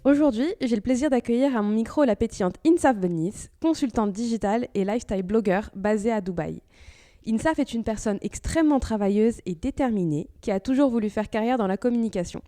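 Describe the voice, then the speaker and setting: A woman's voice: fairly high pitch, a little fast, mellow, soft background music. A woman hosting an interview podcast, introducing the show and talking conversationally with her guest. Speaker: podcast host.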